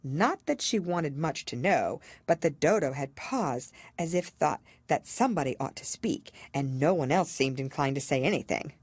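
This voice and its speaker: Female audiobook narrator, expressive storybook read with plenty of pitch movement, very dry recording. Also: moderate pace, few pauses, breathy edge, dry recording.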